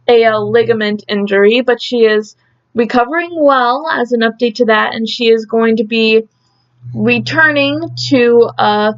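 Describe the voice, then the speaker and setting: A woman with a fairly high-pitched voice presents news in a casual, chatty way. She pauses and thinks aloud as she goes through her notes. The sound is a little muffled and lo-fi, like a simple home recording. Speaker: host of an idol news update.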